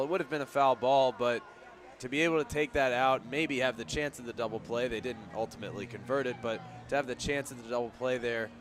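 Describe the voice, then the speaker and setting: A man's voice: mid-range voice, a bit bright. A man giving conversational, analytical color commentary during a live baseball radio broadcast, explaining the plays as they happen. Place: outdoor ballpark broadcast position.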